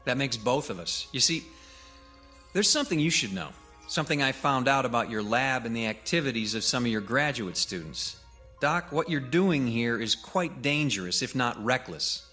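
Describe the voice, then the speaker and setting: Male investigator, sardonic and challenging, crisp forceful tone, informal dramatic film dialogue, light music behind. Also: medium pitch, animated, moderate pace. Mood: sardonic, probing. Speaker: actor.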